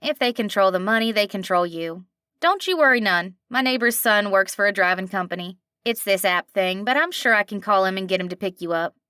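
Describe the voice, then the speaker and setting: A woman's voice: medium pitch, animated, fairly quick, sharp tone, clear, resonant tone, no echo, clean studio sound. A woman reading aloud, voicing a friendly, tired woman cashier in her forties with a slight nasal twang and a folksy, reassuring manner. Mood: kindly reassuring. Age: 40s.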